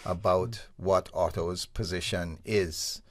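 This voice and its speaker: A man's voice: low-pitched, takes it slowly, no room sound. A man with a Caymanian accent, an actor talking casually and with self-deprecating humor as a radio guest. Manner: relaxed, self-deprecating, joking.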